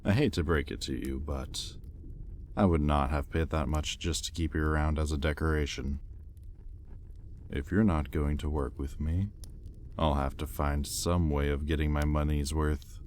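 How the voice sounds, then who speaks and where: A man playing an imperious, sardonic demon in an intimate audio roleplay, with a deliberate, menacing drawl and easily exasperated temper. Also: very deep voice, steady tone, a little mellow, slight background noise. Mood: puzzled, threatening.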